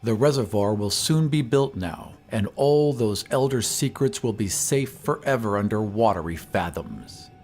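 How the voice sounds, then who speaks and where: A man reading a horror story as scripted dramatic narration, in a measured, literary storytelling manner. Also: on the low side, expressive, darkish tone, faint music behind. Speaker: narrator of an audio drama podcast. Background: ambient sound effects.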